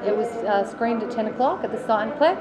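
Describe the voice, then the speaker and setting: A woman with an Australian accent, an actress answering interview questions in a relaxed, chatty way with lots of fillers and restarts. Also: fairly high pitch, fast, a crowd in the background. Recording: loud background noise.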